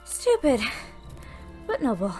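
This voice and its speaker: A woman's voice: high voice, huge pitch swings, slow pace, dark tone, faint music behind, heavily acted. An actress playing a guarded, sardonic young woman in an audio roleplay drama, with a dry, exasperated delivery. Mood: weary, wary. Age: young adult.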